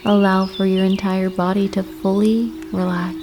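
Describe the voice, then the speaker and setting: A woman narrating a guided meditation, giving gentle, soothing instructions with unhurried pauses. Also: medium pitch, flat delivery, background noise. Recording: clear nature ambience with birdsong. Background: birdsong and nature ambience.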